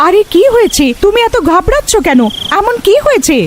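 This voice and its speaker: Woman voicing a high, fretful village wife. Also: very animated, a little fast, a little hiss or hum. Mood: alarmed. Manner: exclaiming, questioning, fretful. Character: worried, anxious village wife.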